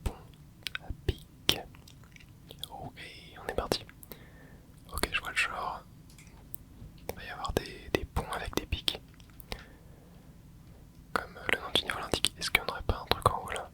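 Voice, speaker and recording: A young man hosting an ASMR channel, softly whispering a relaxed running commentary as he plays. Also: unhurried, long pauses. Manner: soft close whisper, relaxed running reactions. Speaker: ASMR gaming channel host.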